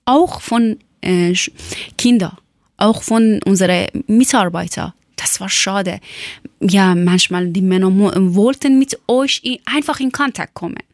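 A woman from Iran gives a personal spoken account in a Persian accent, as a non-native speaker. Her delivery is slow and halting, with repeated words and searches for the right term. Her pitch is average for a woman, and the sound is dry, with little room on it. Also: dry recording. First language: not German. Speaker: former teacher telling her life story.

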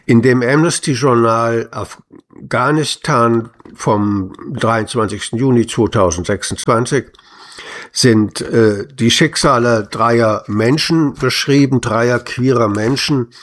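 A man reading written testimonies aloud in a slow, measured, grave manner. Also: medium pitch. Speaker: reader. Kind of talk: solemn read-aloud of testimonies.

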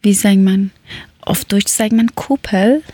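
A woman, chatting casually and often pausing to search for words. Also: mid-range voice, warm tone, breathy edge, no room sound. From Afghanistan. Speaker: conversation partner. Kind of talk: casual chat in learner German.

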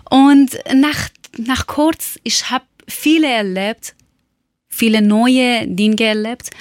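A woman from Iran, speaking German as a learned language, tells her story haltingly and searches for words with many fillers. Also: mid-range voice, no room sound, studio quality. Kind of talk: personal account.

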